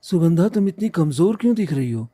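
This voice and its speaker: Guy doing a gentle trusting young husband, very soft dark tone, earnest, cartoon village story. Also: on the high side, big pitch swings, fairly quick, dry recording, clean studio sound. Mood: worried. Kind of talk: cartoon moral story acting.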